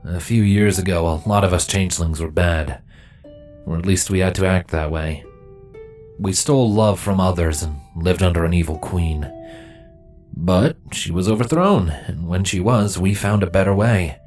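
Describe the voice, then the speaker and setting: A man voicing a gentle adult male changeling, speaking low, soft and reassuring. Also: moderate pace, faint music behind, close to an everyday voice.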